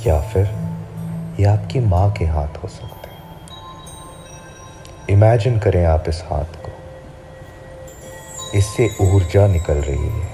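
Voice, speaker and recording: A man with a very deep voice leads a sleep hypnosis, drawing out each phrase at a slow, soothing pace. Clear music plays behind him throughout. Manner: slow, soft, soothing guidance. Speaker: hypnotherapist guiding a sleep session.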